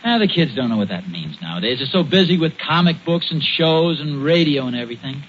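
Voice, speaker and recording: A man's voice: fairly high pitch, lively intonation, a little fast, close to an everyday voice. An American actor playing a genial but stubborn suburban father in a 1940s radio comedy, often hesitant and stammering when flustered. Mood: nostalgic. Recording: noisy, muffled 1940s radio recording.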